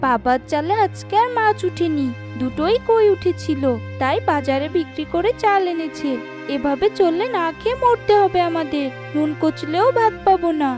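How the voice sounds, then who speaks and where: A woman plays a young village girl, a cartoon little sister who is outspoken and cheeky. Her voice is very high. Faint music plays underneath. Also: lively intonation, normal speed. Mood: worried. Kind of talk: cartoon dubbing.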